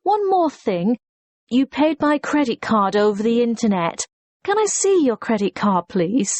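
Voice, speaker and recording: Polite efficient front desk lady, acted by a woman, high pitch, very sing-song, dry sound. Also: big pitch swings, a little slow, darkish tone, dry recording. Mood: helpful. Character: courteous, efficient female hotel receptionist. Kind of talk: scripted listening-test dialogue.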